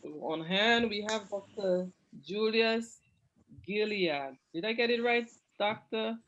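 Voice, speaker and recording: A woman's voice: mid-range voice, takes it slowly, a bit bright, highs cut off, slight background noise. A woman with a Saint Lucian accent hosting an interview in a friendly, hesitant way, with filler sounds. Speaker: host.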